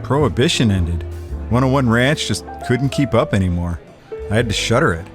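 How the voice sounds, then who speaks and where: An actor playing a cool, cocky, laconic hustler in a hardboiled period radio drama. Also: male voice, medium pitch, expressive, normal speed, music in the background, natural voice. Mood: low-key, guarded. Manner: laconic, wisecracking. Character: wry, cool-headed Prohibition-era male hustler, cornered but cocky.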